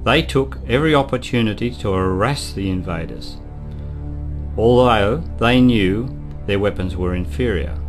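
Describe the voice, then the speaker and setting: A man's voice: lowish voice, measured pace. Male documentary-style narrator over guitar music. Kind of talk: scripted historical narration for radio play.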